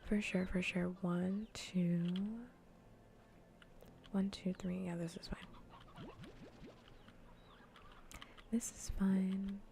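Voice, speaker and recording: A woman streamer chatting casually in a soft, breathy ASMR voice that often drifts into whispers, relaxed and rambling, close to the mic. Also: fairly low pitch, drawn-out words, long pauses, slight background noise. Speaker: livestreamer hosting a cozy gaming stream. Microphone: close ASMR microphone.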